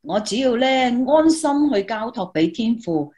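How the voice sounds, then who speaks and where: A woman playing an eager high-voiced student reporter. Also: very animated, measured pace, warm tone. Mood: grateful, eager. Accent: Hong Kong Cantonese. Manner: earnest, exclamatory. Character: eager, devout young female student reporter. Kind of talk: Christmas radio play dialogue.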